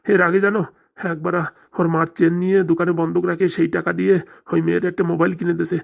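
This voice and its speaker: A cartoon actor plays an anxious father near retirement with a rural Bengali accent. He talks extremely fast, rambling on in an aggrieved, lamenting way, like a man forever complaining in a village comedy. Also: medium pitch, little pitch movement, gravelly. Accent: Bengali, rural dialect. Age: middle-aged. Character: anxious, aggrieved father nearing retirement, fretting over lost money.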